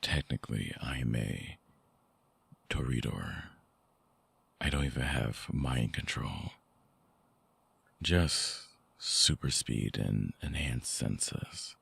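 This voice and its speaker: A man playing a lovelorn, seductive vampire ex in an intimate ASMR audio drama, speaking softly and haltingly with a very deep, gravelly, husky voice. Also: expressive, no echo, full character voice. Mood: soft, alluring. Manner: slow, halting, softly explanatory.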